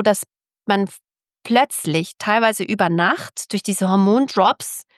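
A woman's voice: medium pitch, dry recording. Middle-aged woman, emphatic talker, very dry sound. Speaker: interviewed author. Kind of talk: podcast interview talk.

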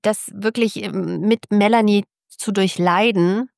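A woman's voice: mid-range voice, fairly even intonation, takes it slowly, creaky, darkish tone, no echo. Middle-aged woman, emphatic talker, very dry sound. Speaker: interviewed author. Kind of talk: podcast interview talk.

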